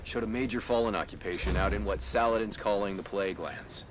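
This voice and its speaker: A man playing a brisk, practical military scout giving clipped field briefings in a video game. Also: fairly low pitch, a little hiss or hum, lightly acted. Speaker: scout commander. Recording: music behind the voice. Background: game soundtrack music.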